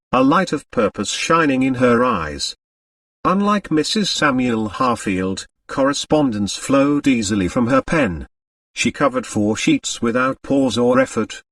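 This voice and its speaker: A man's voice: medium pitch, fairly bright tone, dry recording, clean studio sound, far from the mic. A man narrating a novel as an audiobook, reading scripted prose expressively.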